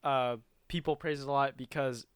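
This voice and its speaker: Clear, dry-miked man chatting casually. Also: medium pitch, fairly even intonation, normal speed, no echo. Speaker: video game show co-host. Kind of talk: casual chat about video games.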